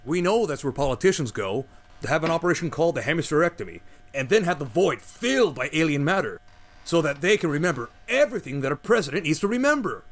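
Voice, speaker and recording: A bumbling talk-radio host, flustered and lively, a faint mains hum behind. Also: male voice, fairly high pitch, quick pace. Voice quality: rambling, excitable, flustered. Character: bumbling male conspiracy-theorist radio host.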